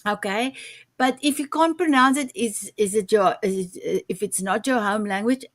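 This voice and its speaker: A woman's voice: mid-range voice, moderate pace, no echo. A woman whose first language is Afrikaans, hosting a talk show and chatting in a hesitant, repeating way. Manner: hesitant, repeats words, animated. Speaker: host. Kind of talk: casual talk show chat.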